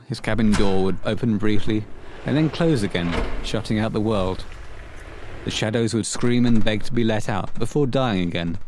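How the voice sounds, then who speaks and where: A man narrating a scripted horror story for an audio play in a measured, literary storytelling manner. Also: fairly low pitch, close to an everyday voice.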